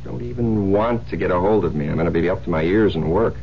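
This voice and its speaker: A sardonic American husband with a very low, creaky voice. He sweet-talks his bride with teasing menace in an old radio thriller. The sound is dull, with a noisy hum. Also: quick pace. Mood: brisk, dismissive. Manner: smooth-talking, teasing, faintly menacing. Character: sardonic murderous husband posing as loving newlywed. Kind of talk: radio drama acting.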